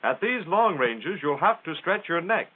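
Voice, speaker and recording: American male narrator for a 1940s military instructional film; plain-spoken and folksy, highly animated intonation, thin vintage soundtrack sound. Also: normal speed. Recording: tinny, band-limited 1940s film soundtrack.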